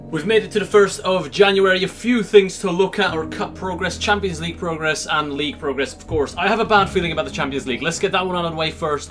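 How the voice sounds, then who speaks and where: A young man from Belgium with a Belgian Dutch accent, commentating a football game simulation in an upbeat, chatty way. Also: a little high. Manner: upbeat, chatty, swings between excitement and gloom. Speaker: gaming YouTuber. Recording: music playing underneath.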